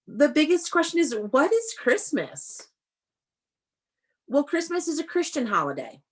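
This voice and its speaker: A woman's voice: fairly high pitch, husky. Woman teacher reading aloud to children. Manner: animated, explanatory, addressing children with questions. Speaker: elementary school teacher. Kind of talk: read-aloud lesson to young children.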